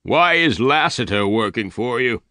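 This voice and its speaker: A man doing a loud, demanding old leader. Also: mid-range voice, lively intonation, measured pace, no room sound, studio quality. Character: fat, gray-haired, angry male leader.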